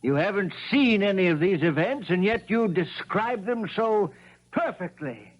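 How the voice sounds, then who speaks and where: An actor playing a formal, stately judge in a 1970s radio drama, with measured pronouncements. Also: male voice, sits high, lively intonation. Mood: solemn. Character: formal, stately male judge presiding over a court. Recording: dull vintage 1970s radio recording.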